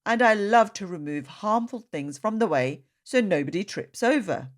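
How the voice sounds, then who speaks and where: A woman reading the words of tender, devout family members in a children's storybook, gently and earnestly. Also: medium pitch, lively intonation, moderate pace, natural voice.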